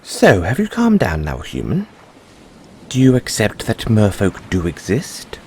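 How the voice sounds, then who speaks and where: A man's voice: on the low side, huge pitch swings, a little slow, slight background noise, heavily acted. A man playing an earnest, cheery merman prince in an audio roleplay, speaking in a theatrical, exclamatory way with genteel, fussy politeness. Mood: patient, explaining. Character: young, cheery, dramatic, naively earnest merman prince of Atlantis. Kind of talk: audio roleplay addressed to the listener.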